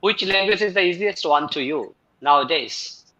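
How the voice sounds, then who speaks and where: A man leads live speaking-exam practice, putting questions to a student in a bright, fairly high voice. He often stops and restarts with fillers as he goes. The sound is dull and muffled. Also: expressive. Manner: halting, with many fillers. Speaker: English speaking tutor.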